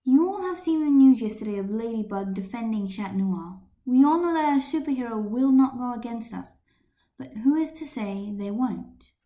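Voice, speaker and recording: Woman acting a firm high-voiced schoolteacher. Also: lively intonation, normal speed, mellow, lightly acted. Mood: explaining. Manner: firm, instructive. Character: female schoolteacher, firm, orderly, reasonable.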